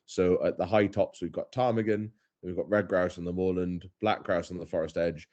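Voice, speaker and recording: A man's voice: deep voice, little pitch movement, normal speed, a little mellow, lo-fi sound. A young man, a guest on a podcast, talking casually in a thoughtful, hesitant way with many fillers and restarts. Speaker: head stalker, guest.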